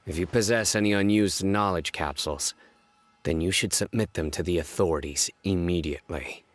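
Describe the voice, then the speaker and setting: An actor playing a cold, commanding male investigator in a video game, speaking in a measured, clipped way. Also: low voice, faint music behind, close to an everyday voice. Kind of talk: game voice acting.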